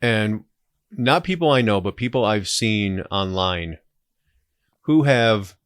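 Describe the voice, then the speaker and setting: A man's voice: on the low side, animated, slow pace, clear, resonant tone, no echo, clean studio sound. A man in his forties talking casually as a podcast guest, reflective and opinionated. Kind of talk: casual conversational interview.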